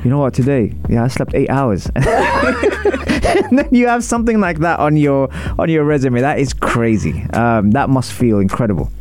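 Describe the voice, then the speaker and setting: A man's voice: medium pitch, wildly swooping pitch, moderate pace, a little mellow, no room sound. A man hosting a live talk show, casual and jokey, laughing often as he chats with a guest. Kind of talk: casual live radio chat.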